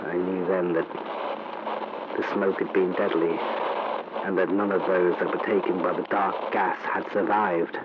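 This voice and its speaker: A man's voice: sits low, level tone. An actor playing a grave, shaken male war reporter giving a solemn, formal eyewitness account in a 1930s radio broadcast style. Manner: solemn, deliberate, formal narration. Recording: noisy, muffled vintage radio recording.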